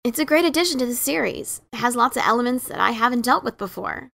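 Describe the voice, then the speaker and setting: A woman playing an adventurous pegasus pony author in a fan dramatic reading, emphatic and defensive, halting when awkward. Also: a little high, expressive, gravelly, fairly bright tone. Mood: uneasy, explaining.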